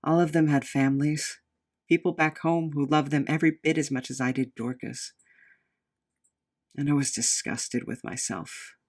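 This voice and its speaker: A man acting a guilt-ridden, brooding man, slightly high-voiced. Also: steady tone, normal speed, darkish tone, natural voice. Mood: remorseful. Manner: brooding, remorseful. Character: guilt-ridden man recounting his tragic past. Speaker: narrator of own backstory. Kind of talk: dramatic scripted monologue.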